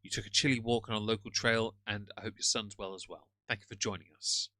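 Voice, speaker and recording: A man's voice: lowish voice, fairly even intonation, fast, sharp tone, slight background noise. A man with a British accent hosting a casual live stream, ranting sarcastically and greeting chat viewers. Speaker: livestream host reacting to another streamer.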